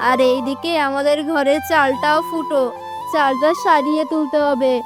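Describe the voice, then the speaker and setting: A woman's voice: sits high, steady tone, moderate pace, lightly acted. A woman voicing a poor, fretful village fisherman father in a cartoon, with a rustic, exclamatory manner and a Bangladeshi accent. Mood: worried, resigned. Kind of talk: cartoon character dialogue. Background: loud music.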